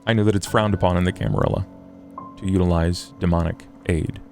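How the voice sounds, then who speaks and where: A man playing a scholarly, formal vampire in a tabletop game, speaking in measured, wordy phrases over music. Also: a little mellow. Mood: formal, pondering. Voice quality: low, gravelly fry. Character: scholarly, formal, morose male vampire occult theorist. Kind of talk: in-character tabletop roleplay.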